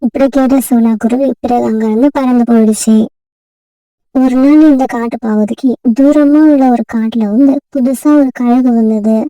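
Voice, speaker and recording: A woman's voice: a little high, moderate pace, no echo, distant mic, lo-fi sound. Woman narrating a cartoon tale. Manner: steady, storytelling. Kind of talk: scripted storybook narration.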